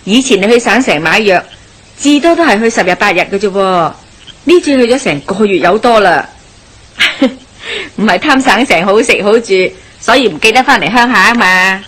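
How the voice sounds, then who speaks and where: An actress playing a warm-hearted, nosy middle-aged village wife with a Hong Kong Cantonese accent, gossipy and exclamatory, in a radio drama. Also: female voice, medium pitch, expressive, normal speed. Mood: cheerful, curious.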